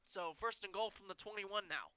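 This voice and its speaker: A young man calling high school football play-by-play, a little congested and nasal, getting quick and excited on big plays. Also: clean tone. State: congested, says he sounds nasal. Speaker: play-by-play announcer.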